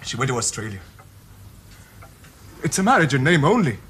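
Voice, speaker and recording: A bright, expressive voice playing a devout young husband torn by guilt and forbidden love. His period drama delivery is formal, earnest and anguished, ranging widely in pitch. Faint ambient noise sits behind him. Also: moderate pace. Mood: ashamed. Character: guilt-ridden, devout, lovelorn married young man. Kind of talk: period radio drama acting.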